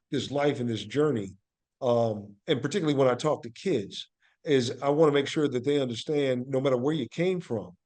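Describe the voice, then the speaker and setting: A man's voice: mid-range voice, darkish tone. A man giving reflective interview answers as a guest, speaking earnestly and motivationally, repeating phrases for emphasis. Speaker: podcast guest, actor and playwright.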